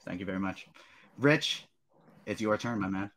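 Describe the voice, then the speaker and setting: Male podcast host, fairly low voice, friendly casual chat, encouraging to fellow panelists. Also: moderate pace, fairly bright tone, slight background noise.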